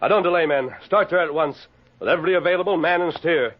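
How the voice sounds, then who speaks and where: A man's voice: fairly high pitch, fast, lightly acted. An actor playing a resolute masked western lawman in an old radio drama, urgent and commanding. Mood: urgent, calling out. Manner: urgent, authoritative, clipped commands. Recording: dull lo-fi vintage radio recording.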